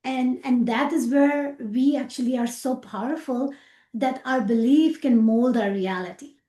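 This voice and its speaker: A middle-aged woman with a Pakistani accent, an interview guest talking about her work with animated repetitions and fillers. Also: high-pitched, a little slow, roomy sound. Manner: animated, repeats words, frequent fillers, laughs easily. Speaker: rocket scientist guest. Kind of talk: casual interview chat.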